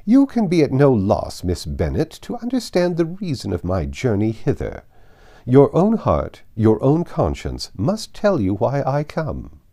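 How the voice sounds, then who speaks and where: A man voicing a haughty, domineering older aristocratic lady in an audiobook, with emphatic and deliberate delivery. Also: medium pitch, wildly swooping pitch, dark tone, pitched above the performer's own voice, full character voice. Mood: stern indignation. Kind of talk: audiobook character voice.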